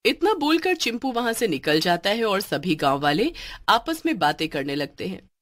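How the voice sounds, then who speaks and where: A woman's voice: on the low side, fairly bright tone. A woman reading a scripted story as its narrator.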